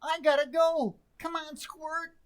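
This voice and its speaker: A woman playing an excitable, hurried teenage son in an old-time radio sitcom. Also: sits high. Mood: flustered.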